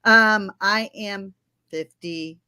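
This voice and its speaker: A woman in her fifties from Florida, a legal aid attorney, answering interview questions in a friendly, measured way with frequent ums. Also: mid-range voice, bright, forceful tone, clean tone. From Florida, United States. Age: 50s.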